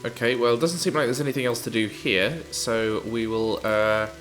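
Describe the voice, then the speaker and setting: A man's voice: mid-range voice, fairly even intonation, normal speed, fairly bright tone. Stuffy-nosed man with wry game commentary. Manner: wry, chatty. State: stuffy-nosed from hay fever. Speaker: let's play host. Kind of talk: casual gameplay commentary. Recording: game music faintly behind.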